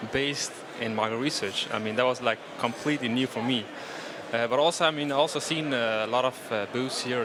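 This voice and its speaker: A man in his twenties from Denmark speaks in a mid-range voice. He hesitates and searches for words. Steady noise from the busy floor surrounds him. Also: mid-range voice. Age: 20s. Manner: hesitant, many ums and "actually". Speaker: student with an engineering background.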